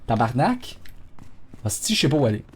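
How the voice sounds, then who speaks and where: A man with a Quebec French accent, an excitable streamer swearing often and talking to his chat during live gameplay commentary. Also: medium pitch, normal speed, breathy edge.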